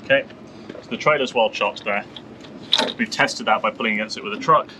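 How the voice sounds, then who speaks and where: A man in his thirties, a vlogger, talking outdoors in a wooded clearing and explaining things step by step. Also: on the low side, a little fast, background noise. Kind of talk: casual explainer vlog.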